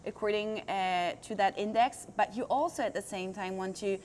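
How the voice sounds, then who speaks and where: A woman's voice: mid-range voice, normal speed, darkish tone, slight background noise. A woman interviewing guests, asking curious questions and rephrasing them as she goes.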